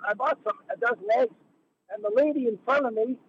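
A hoarse man calling in to a radio show, telling his story in an indignant, rushed way. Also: extremely high pitch. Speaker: caller. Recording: muffled phone call with noise.

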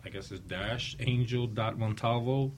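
Halting male guest with mid-pitched voice. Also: steady tone, a little hiss or hum. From Puerto Rico. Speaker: talk show guest, author. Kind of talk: casual chat.

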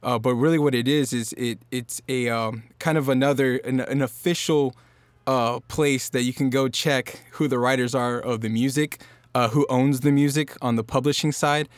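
A man's voice: medium pitch, moderate pace, no echo. A man from Norfolk, Virginia, a singer-songwriter guest talking casually on a podcast, loose and rambling with lots of fillers. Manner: relaxed, rambling with many fillers and restarts.

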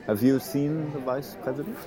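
A man acting an uneasy Prussian immigrant tradesman in his late thirties, speaking with a German accent in halting short sentences in a period drama, over music. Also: medium pitch, crowd noise, close to an everyday voice. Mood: guarded, nervous.

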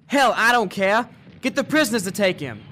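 A man playing a commanding American army officer in a World War II radio drama, giving terse, gruff orders. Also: high voice, sing-song, normal speed, noisy background. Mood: urgent, harried.

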